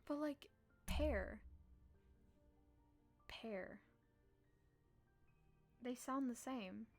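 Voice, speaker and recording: A woman live streamer reading a visual novel aloud in a playful, expressive way with casual reactions. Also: fairly high pitch, very slow, faint music behind.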